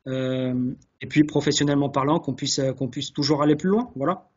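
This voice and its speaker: A man's voice: mid-range voice, fairly quick, a little mellow, breathy edge. A man answering interview questions earnestly, hesitating and repeating words as he searches, on dull webinar audio. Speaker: guest, chef sommelier. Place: online video call.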